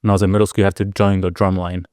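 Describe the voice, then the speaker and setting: A man in his thirties, from the Arizona–Mexico border, who reflects on his past with an unhurried ease. His voice sits a little low and sounds smooth and clear, close and dry against the mic. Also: flat delivery, a little mellow, no echo. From Arizona-Mexico border, Sonoran Desert. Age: 30s. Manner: easygoing, reflective storytelling with many fillers. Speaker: podcast guest, music producer and DJ. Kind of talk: casual interview chat.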